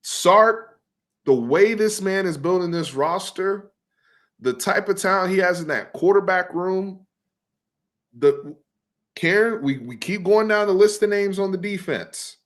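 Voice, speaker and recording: Animated man hosting a sports talk show. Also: high voice. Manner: animated, fast, repeats words. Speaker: host.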